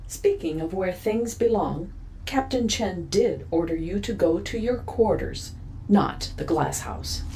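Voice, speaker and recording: A female artificial intelligence running a spaceship in an audio drama. She is cool, probing and deadpan, speaking tersely in a slightly low voice with heavy fry. Clear noise sits behind her. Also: measured pace, low-quality recording.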